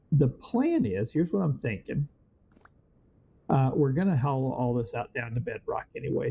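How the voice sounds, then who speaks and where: Let's-play host, man, a bit hoarse, dark mellow tone, meandering and filler-heavy, easygoing commentary. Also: mid-range voice. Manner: rambling, thinks aloud with many fillers. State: slightly hoarse, voice worn from weather change. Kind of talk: casual gameplay commentary.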